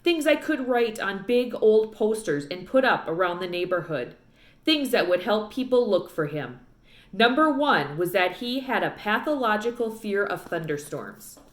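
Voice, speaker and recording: A woman's voice: mid-range voice, very animated, moderate pace. A woman narrating a children's book aloud expressively, recorded with roomy echo.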